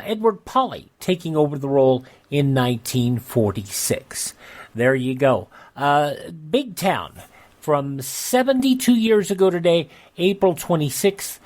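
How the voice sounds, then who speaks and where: A man's voice: on the high side, darkish tone. Casting: male radio host, casual and folksy, chatting straight to listeners.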